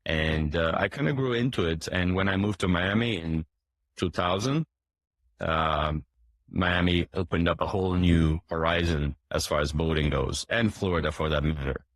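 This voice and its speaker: A man in his forties who grew up in Turkey, a boat dealership owner answering interview questions in a hesitant way, with many fillers and restarts and frequent throat clearing. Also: low-pitched, moderate pace, no room sound, poor audio.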